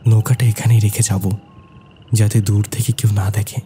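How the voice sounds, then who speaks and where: A man playing a bold, mischievous young man who narrates and acts in an animated horror tale. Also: lowish voice, a little fast, a little hiss or hum, natural voice. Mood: hushed urgency. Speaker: narrator and character. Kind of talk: scripted horror cartoon narration and dialogue.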